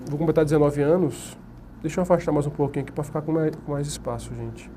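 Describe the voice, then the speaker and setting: A man's voice: fairly high pitch, fast, warm tone, a little hiss or hum. A man with a Brazilian Portuguese accent giving an exam-prep lecture at a whiteboard. He asks the class questions and answers them himself. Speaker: teacher.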